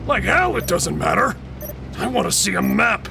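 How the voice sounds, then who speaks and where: An actor playing an angry, blunt ex-delivery driver stuck on a prison island, in an audio drama. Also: male voice, sits high, lively intonation, moderate pace, vocal fry, music in the background, heavily acted. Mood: demanding. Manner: blunt, confrontational. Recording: noisy background.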